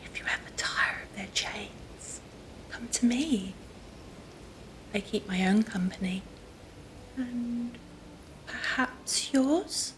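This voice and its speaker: A woman's voice: a little high, slow, long pauses, fairly bright tone, whispered, low-quality recording. An actress playing an elegant, severe Victorian woman, intense and coolly persuasive, in an audio drama. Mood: cold, intense.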